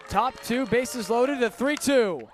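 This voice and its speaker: A high-voiced man works as a baseball play-by-play announcer. He delivers live radio commentary, following the game pitch by pitch. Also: slow, faint music behind, some chatter behind.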